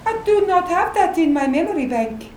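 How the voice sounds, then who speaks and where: A woman's voice: extremely high pitch, big pitch swings, normal speed, darkish tone. A woman playing a naive but vengeful, immensely strong female character in a drama, speaking in stilted, literal phrases. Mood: anxious. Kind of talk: acted dramatic sketch. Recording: echoey room with faint background noise.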